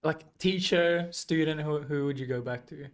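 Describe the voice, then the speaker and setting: Young man, podcast host, casual chat, slightly high voice, many short reactions and laughs. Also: moderate pace.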